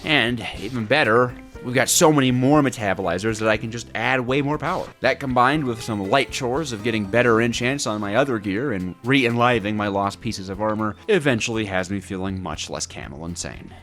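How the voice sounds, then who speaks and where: A man's voice: on the low side, fairly quick, few pauses, a bit bright, faint music behind. A man narrating a scripted gameplay story with dramatic, comically exasperated delivery. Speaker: gaming video creator.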